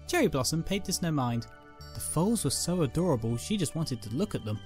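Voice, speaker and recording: A woman's voice: low-pitched, big pitch swings, brisk, a little mellow, soft background music. A woman narrating a scripted fan fiction story as its reader.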